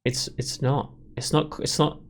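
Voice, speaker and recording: A man's voice: fairly high pitch, flat delivery, measured pace. A man giving casual let's play commentary, opinionated and rambling as he thinks aloud. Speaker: gaming video host.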